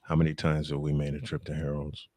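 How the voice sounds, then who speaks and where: A man's voice: rumbling low, monotone, fast, dark tone, dry recording. An American man doing live baseball play-by-play mixed with casual banter. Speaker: play-by-play commentator.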